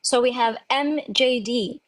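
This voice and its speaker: A woman's voice: on the high side, measured pace, no room sound, low-quality recording. Woman hosting a live stream, friendly intros, lots of ums, dry close sound. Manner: welcoming, with frequent "um" fillers. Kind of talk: casual hosting of a live panel.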